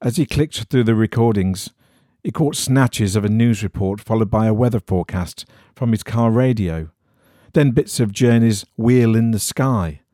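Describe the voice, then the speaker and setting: A man reading an eerie horror story in a measured, suspenseful storytelling manner. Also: on the low side, warm tone, no room sound, studio quality. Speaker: story reader.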